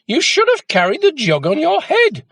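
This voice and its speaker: A man voicing an angry, exasperated mother in a storybook, shouting scolding insults in a shrill screech. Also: huge pitch swings, moderate pace, no room sound, full character voice.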